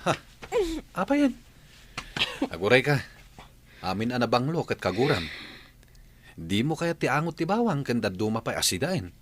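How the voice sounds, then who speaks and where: A man's voice: mid-range voice, huge pitch swings, normal speed, long pauses, a bit bright, no echo. A man acting a bemused young husband in an Ilocano radio comedy drama. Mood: bemused, protesting. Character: bemused, animated young husband of a pregnant wife.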